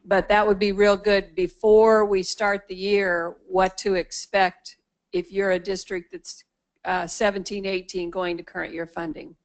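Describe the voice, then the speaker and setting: A woman's voice: mid-range voice, level tone, measured pace, low-quality recording. Woman asking from the audience. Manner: thinking aloud, informal. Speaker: audience member. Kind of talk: asking questions at a meeting.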